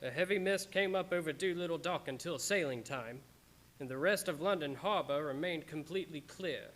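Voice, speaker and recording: A man's voice: on the high side, normal speed, fairly bright tone, natural voice. A man playing a solemn young Englishman who reads his journal aloud in a radio play. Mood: solemn, tense. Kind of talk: dramatic radio-play narration. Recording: live-streamed radio play with music bed.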